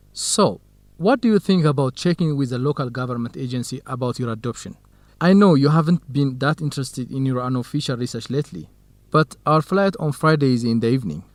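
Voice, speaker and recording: A man's voice: medium pitch, dry recording, natural voice. Kind young man, acted, dry studio sound. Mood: gentle, helpful. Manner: measured, simple phrasing for English learners. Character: kind, modest young Ethiopian male researcher. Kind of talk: scripted educational radio drama dialogue.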